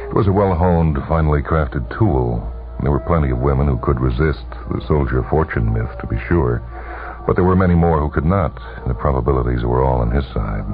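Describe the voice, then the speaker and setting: Male narrator, rumbling bass pitch, dramatic story reading, deliberate phrasing, tinny old broadcast, music behind.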